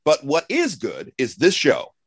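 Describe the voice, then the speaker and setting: A man's voice: on the high side, huge pitch swings, measured pace, husky, no echo, clean studio sound. A man hosting with scripted comic banter and an appeal for sponsors. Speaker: show host.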